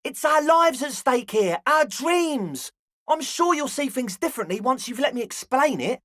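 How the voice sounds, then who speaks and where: Game role: earnest twenty-year-old club kid, eager and a bit grandiose, very animated delivery, close dry sound. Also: male voice, extremely high pitch, moderate pace, no echo, heavily acted. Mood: earnest pleading. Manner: slacker slang mixed with grandiose phrasing. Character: balding twenty-year-old raver dreamer, eager, earnest, slightly pompous. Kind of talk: video game character dialogue.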